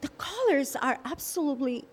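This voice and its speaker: A woman's voice: sits high, expressive, moderate pace. A woman artist from Peru, interviewed, talking with effusive enthusiasm.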